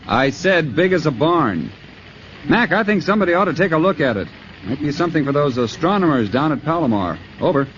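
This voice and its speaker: A man's voice: a little high, big pitch swings, brisk. Matter-of-fact fire crewman on a radio call; hissy dull old broadcast. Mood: steady. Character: calm male fire truck crewman on radio. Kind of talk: 1950s radio drama acting.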